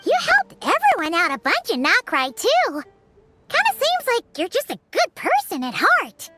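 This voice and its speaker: Squeaky fairy sidekick, very high pitch, childlike, excitable, gushing, video game, swooping intonation. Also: female voice, moderate pace, heavily acted. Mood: warm, teasing. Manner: gushing, exclamatory. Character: tiny excitable female fairy companion, childlike and cheerful. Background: background music.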